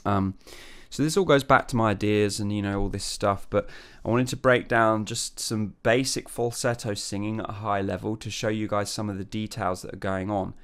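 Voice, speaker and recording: A man teaching as a voice coach, talking through technique in a relaxed way, with many fillers and restarts. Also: lowish voice, normal speed, clean tone. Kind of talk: singing lesson with sung falsetto demonstrations.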